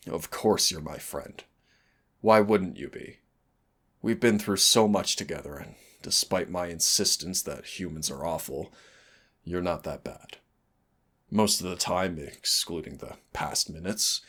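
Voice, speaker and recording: Guy doing a cocky demon mentor for roleplay audio, really low voice, takes his time, sardonic but fond. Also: fairly bright tone, slightly rough, exaggerated voice. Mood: exasperated, fond. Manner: sardonic, teasing, drawling. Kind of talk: scripted roleplay audio acting.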